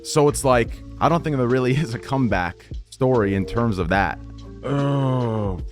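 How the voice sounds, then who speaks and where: A man's voice: medium pitch, measured pace, faint music behind. A man chatting casually on a livestream as a streamer, blunt, profane and rambling. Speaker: Twitch streamer.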